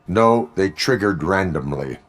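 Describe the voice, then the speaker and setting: An actor playing a stoic cyborg police officer in a video game, terse, solemn and deadpan. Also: male voice, low-pitched, measured pace.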